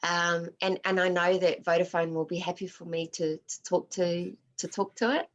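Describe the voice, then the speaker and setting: Woman from Australia leading a workshop Q&A; fairly low pitch, personal, reflective delivery with Māori words woven into her English. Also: fairly even intonation, normal speed. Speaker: workshop facilitator. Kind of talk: answering audience questions in a workshop.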